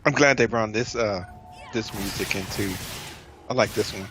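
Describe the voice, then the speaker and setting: A young man doing casual gameplay commentary on a stream, excitable and quick to doubt what he hears. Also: lowish voice, soft background music. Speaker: game streamer.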